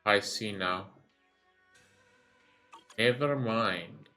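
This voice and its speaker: A man's voice: fairly low pitch, drawn-out words, bright, forceful tone, soft background music. A man giving casual gameplay commentary, rambling as he thinks aloud and swearing freely. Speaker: gaming streamer recording a reaction video.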